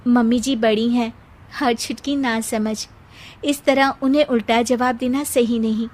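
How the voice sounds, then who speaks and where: A woman's voice: a little high, steady tone, measured pace, darkish tone. A woman voicing a dutiful, long-suffering young daughter-in-law in a family cartoon, polite and earnest. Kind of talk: Hindi family cartoon dubbing.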